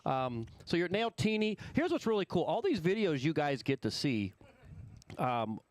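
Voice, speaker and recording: A man's voice: fairly high pitch, very animated, normal speed, dry recording. A man hosting a live local radio talk show, chatting casually and rambling with many restarts and fillers. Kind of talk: casual chat. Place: radio studio.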